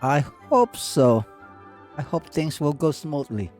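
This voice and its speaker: A man's voice: a little high, slow, warm tone. Laid-back man streaming a game. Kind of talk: casual live gameplay commentary. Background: game music.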